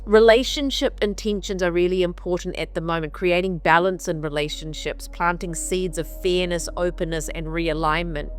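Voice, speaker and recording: A lower-voiced woman talks through a spiritual mantra in an easy, wandering way, circling back over phrases to stress them. Her voice is a little nasal and sniffly from allergies. Faint music plays underneath. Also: fairly even intonation, moderate pace, few pauses. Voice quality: slightly nasal from sinuses. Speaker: spiritual therapist hosting a weekly mantra episode. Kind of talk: casual spiritual talk. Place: on the road while traveling.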